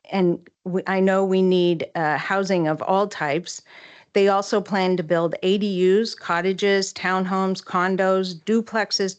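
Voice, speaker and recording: A middle-aged woman giving a prepared public comment to a city council, measured and citing figures earnestly. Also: medium pitch, clean tone.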